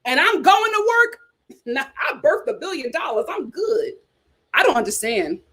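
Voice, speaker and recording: A young woman hosting a casual reality TV chat, sassy and animated, talking straight to her viewers. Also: high-pitched, moderate pace. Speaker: host.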